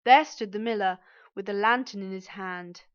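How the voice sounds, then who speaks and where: A woman's voice: medium pitch, normal speed. A woman narrating a scripted children's story as its reader. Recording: dull, band-limited audio.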